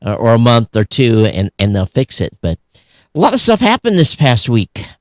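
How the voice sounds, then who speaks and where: A man's voice: medium pitch, wildly swooping pitch, moderate pace, no room sound. Casual American man co-hosting, close dry sound. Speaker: podcast co-host. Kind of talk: casual unscripted show chat.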